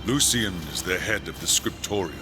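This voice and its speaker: A steadfast angelic guardian in a fantasy game, voiced by a man. His voice is low and his speech formal and earnest, like a seasoned soldier, with cinematic music clearly behind it. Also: normal speed, natural voice. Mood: grave, steadfast. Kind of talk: dramatic game cutscene acting.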